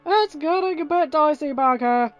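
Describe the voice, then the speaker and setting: A woman's voice: high-pitched, moderate pace, faint music behind, voice pushed up, close to an everyday voice. Soldier pilot, male voiced by a woman, raised urgent calls, loyal, battle scene, storybook reading. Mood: urgent, strained. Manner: urgent calling out.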